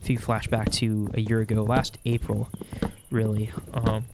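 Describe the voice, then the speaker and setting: A man's voice: lowish voice, little pitch movement, moderate pace, heavy background noise. A young man with a stuffy nose, chatting casually and rambling while he plays a game. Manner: rambling, with many fillers. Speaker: Minecraft vlogger. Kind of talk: casual chat while gaming.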